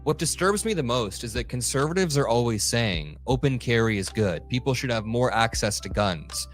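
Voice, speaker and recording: A man's voice: medium pitch, animated, normal speed, faint music behind. A man giving an online news commentary, indignant and emphatic as he narrates clips. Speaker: political commentator.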